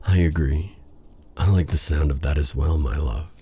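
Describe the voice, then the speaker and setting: Man acting a low, breathy, lovestruck dark sorcerer. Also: full character voice. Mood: devoted, tender. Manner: flustered, stammering, halting, formal old-fashioned phrasing. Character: exiled dark sorcerer, male, lovestruck, tender, self-doubting. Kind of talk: romantic fantasy audio roleplay, intimate ASMR.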